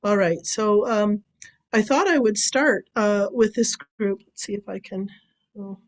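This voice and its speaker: A woman with a raspy voice, hoarse from a cold, giving a loose academic lecture over a video call, with many ums and restarts. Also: medium pitch, measured pace. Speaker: guest lecturer.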